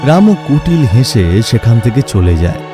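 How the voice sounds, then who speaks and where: A man's voice: medium pitch, very animated, moderate pace, music in the background. A man giving scripted storytelling narration for a moral tale.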